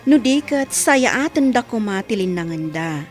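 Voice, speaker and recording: A woman's voice: on the high side, animated, measured pace, faint music behind. A woman with a Filipino accent reading scripted narration as a radio drama announcer.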